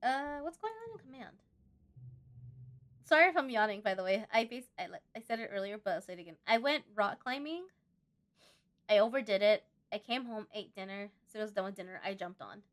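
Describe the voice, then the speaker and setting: A woman's voice: medium pitch, lively intonation, fast. A woman hosting a casual let's-play, reading game text aloud and breaking off into chatty asides. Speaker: host of a gaming channel.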